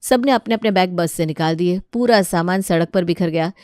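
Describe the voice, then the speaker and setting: A woman's voice: on the low side, normal speed, darkish tone, dry recording, studio quality. A woman reading scripted narration of a comic family story as its narrator.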